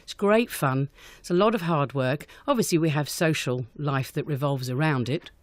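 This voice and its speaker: A woman's voice: sits low, normal speed, no echo. A woman answering questions in an unscripted radio interview, chatty and enthusiastic as a guest director. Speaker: guest, amateur pantomime director.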